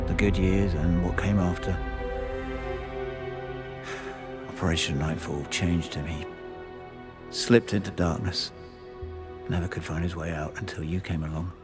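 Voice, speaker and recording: Deep-voiced, grave older spy. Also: male voice. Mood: grieving. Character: somber older male spy mourning an old friend. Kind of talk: cinematic game dialogue. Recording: music behind the voice.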